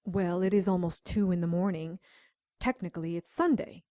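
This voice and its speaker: A woman playing a sharp-tongued spellcaster sidekick in an audio drama, wry and practical. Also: lowish voice, normal speed, no room sound, clean studio sound, close to an everyday voice.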